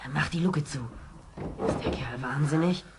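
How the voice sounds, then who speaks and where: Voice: mid-range voice, moderate pace, natural voice. A performer playing an earnest, questioning teenage orphan boy in a German children's radio play. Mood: sceptical. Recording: clear background noise on old record.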